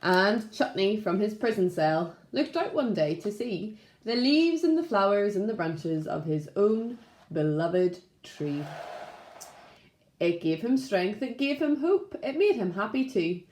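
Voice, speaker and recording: Expressive woman reading slowly in a roomy space. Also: medium pitch. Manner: expressive, addressing young children. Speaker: primary school teacher reading to class. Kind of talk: children's storybook read-aloud. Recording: roomy, echoing room sound.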